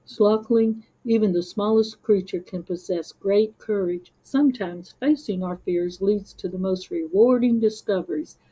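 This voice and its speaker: A woman voicing a wise, relaxed father sloth in a storybook, with a gentle, unhurried murmur. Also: medium pitch, breathy edge, rough recording. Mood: wise, calm.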